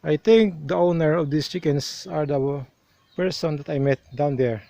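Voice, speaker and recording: A Filipino man vlogging outdoors on a hilltop, explaining the sights in an unhurried way, sometimes restarting his words. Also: on the high side, creaky, dark tone, dry recording. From Philippines. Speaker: travel vlogger. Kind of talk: outdoor travel vlog narration.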